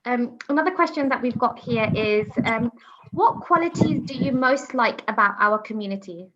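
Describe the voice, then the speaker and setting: A woman hosts an online lecture, talking in a halting way full of ums and pauses. Her voice sits fairly high. The sound is dull and lo-fi, like a video call. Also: animated, a bit bright. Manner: hesitant, many ums. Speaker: host.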